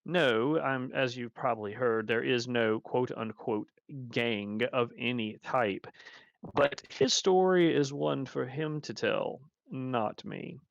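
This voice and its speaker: A man's voice: mid-range voice, slow pace, creaky, no room sound, highs cut off. A man voicing a polite, reasonable ogre. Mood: earnest, courteous. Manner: courteous, formal, reasoning. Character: polite large male ogre warrior, frank.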